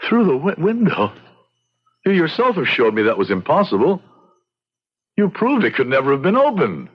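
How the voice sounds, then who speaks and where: An actor playing an earnest, compassionate frontier judge in a radio drama, speaking in a formal, emphatic way. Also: male voice, a little high, huge pitch swings, normal speed, slightly rough, exaggerated voice. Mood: astonished, incredulous. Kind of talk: radio drama acting and narration. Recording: slightly dull radio drama recording.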